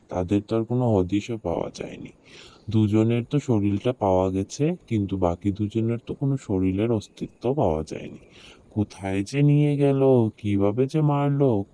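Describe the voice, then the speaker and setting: A man's voice: fairly low pitch, mellow, close to an everyday voice. A man voicing a fearful, deferential village servant in a horror cartoon, in a plaintive, lamenting way. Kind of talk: horror cartoon story dubbing.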